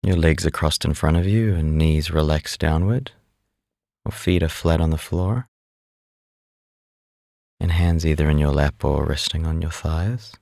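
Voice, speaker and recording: Low, creaky-voiced man guiding meditation. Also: dry recording, studio quality. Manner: calm, unhurried instructing. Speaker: meditation instructor. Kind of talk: guided meditation narration.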